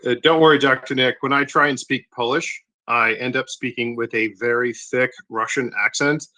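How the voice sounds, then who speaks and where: Wry man with a bright, forceful voice. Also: mid-range voice, fairly even intonation, moderate pace. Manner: wry, jokey, mock-formal. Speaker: speaker on a live audio space. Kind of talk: casual chat.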